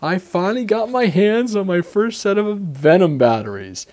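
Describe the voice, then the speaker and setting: A man's voice: high voice, animated, moderate pace, a little mellow. A middle-aged man hosting a casual hobby update vlog from his workbench, rambling with many fillers while getting over a cold. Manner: rambling, lots of fillers and self-corrections. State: recovering from a cold, voice croaky at times. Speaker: RC hobby vlogger.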